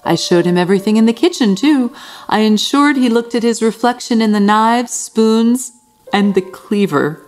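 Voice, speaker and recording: An actress playing a deranged, proud, fastidious housekeeper in a gothic radio drama, theatrical and insistent. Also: female voice, fairly high pitch, lively intonation, darkish tone, natural voice. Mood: proud, confident. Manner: theatrical, insistent, unravelling into agitation. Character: deranged, proud, fastidious housekeeper who has killed an old man. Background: music under the voice.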